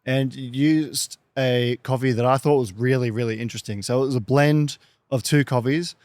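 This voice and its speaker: A man's voice: medium pitch, steady tone, moderate pace, darkish tone, no room sound. A man hosting a show, interviewing a guest in a conversational style.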